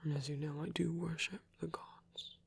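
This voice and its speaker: A performer playing a gentle, caring male vampire priest in an intimate ASMR roleplay, speaking in a breathy whisper with a measured, slightly formal manner. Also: mid-range voice, little pitch movement. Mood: earnest, soothing.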